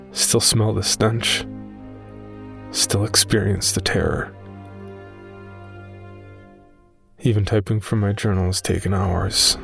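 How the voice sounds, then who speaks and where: A man playing a shaken, weary caver who tells his horror story from a journal in a measured, solemn way. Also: fairly low pitch, vocal fry. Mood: drained, uneasy. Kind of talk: first-person horror narration.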